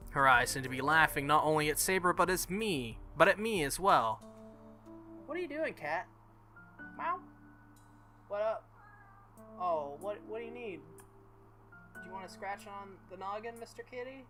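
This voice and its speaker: Bright-voiced man reading a game aloud. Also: a little high. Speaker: gaming video host. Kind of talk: playthrough commentary and dramatic read-aloud of a visual novel.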